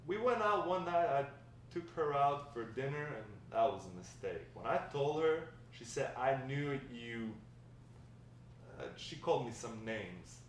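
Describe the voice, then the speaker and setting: A man playing a grandfather who fondly recounts his wild, lovestruck youth in a halting table read. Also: medium pitch, big pitch swings. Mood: rueful, confiding. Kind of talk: table read of a dramatic script. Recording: echoey room, distant mic, faint noise.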